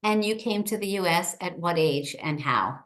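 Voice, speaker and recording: A woman's voice: lowish voice, animated, moderate pace, fairly bright tone. A middle-aged woman hosting a short interview over a video call, introducing her guest and asking questions in an upbeat, enthusiastic way. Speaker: host, state senator.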